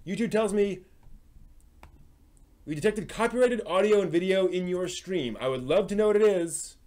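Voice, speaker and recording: A man's voice: high-pitched, moderate pace, darkish tone. A man hosting a live stream, talking casually and thinking aloud, often breaking off and rewording as he goes.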